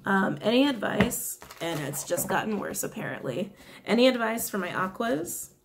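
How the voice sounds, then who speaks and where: A young woman with a raspy, slightly worn voice reads tarot cards straight to the camera. She talks casually and chattily, often laughing and apologizing along the way. She is recorded in a small indoor room. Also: mid-range voice, very animated, moderate pace, noisy background. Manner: chatty, giggly, apologetic asides. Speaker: tarot reader.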